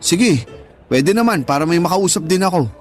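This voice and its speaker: A man's voice: a little high, expressive, normal speed, soft background music, natural voice. A man playing an earnest, lovestruck young man in a Tagalog radio drama, speaking conversationally. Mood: confident. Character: earnest lovestruck young man waiting for his online girlfriend.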